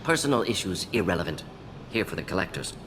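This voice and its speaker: A man's voice: sits low, sing-song, quick pace, noisy background. A man playing a clinical alien scientist in a video game, speaking in terse, telegraphic bursts. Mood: curt, disapproving. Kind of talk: video game character dialogue.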